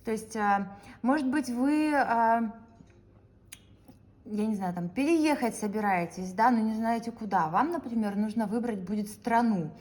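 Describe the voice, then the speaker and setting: A woman's voice: a little high, a little slow, darkish tone. A woman hosting her own channel who leads viewers through a goal-setting practice, speaking to them directly with frequent hesitant fillers. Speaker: YouTube channel host.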